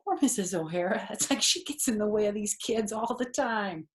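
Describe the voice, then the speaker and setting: A woman's voice: medium pitch, expressive, moderate pace. An older woman reading a children's storybook aloud expressively, with explanatory asides.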